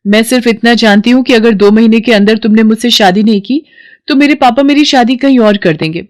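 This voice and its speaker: A woman's voice: a little high, a little fast, pitched above the performer's own voice, natural voice. A woman voicing an insistent young girlfriend, firm and exasperated, in a dramatised story. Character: insistent young woman pressing her boyfriend to marry.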